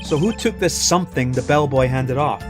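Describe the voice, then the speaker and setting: A man's voice: medium pitch, moderate pace, music in the background, natural voice. A Scottish man voicing an earnest young male defense lawyer, questioning a witness.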